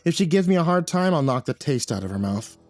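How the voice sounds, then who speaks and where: A man's voice: fairly high pitch, big pitch swings, fast, a little mellow, dry recording, soft background music, voice pushed up. A man plays a tough, brash woman in an audiobook story. She barks and teases with sardonic scorn and a foul mouth. The sound is dry and close. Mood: threatening. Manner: barking, sardonic. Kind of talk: audiobook character voice.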